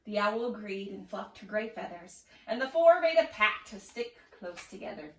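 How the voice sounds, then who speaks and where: A woman's voice: mid-range voice, big pitch swings, normal speed, a bit bright. A woman expressively reading a rhyming children's story aloud. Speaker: storybook reader. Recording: echoey room, distant mic.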